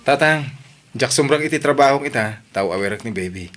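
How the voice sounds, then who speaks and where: A man's voice: mid-range voice, expressive, a little fast, close to an everyday voice. A man playing a polite young Ilocano son. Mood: calm. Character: young adult son working away, loyal to his sister. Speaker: son in a family drama. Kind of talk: audio drama acting. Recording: soft music underneath.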